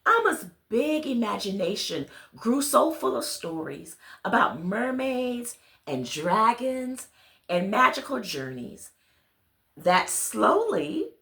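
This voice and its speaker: A woman reading a children's picture book aloud, expressive, speaking directly to her young listeners. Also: fairly high pitch, takes it slowly, vocal fry, reverberant. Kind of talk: children's read-along with friendly asides to listeners.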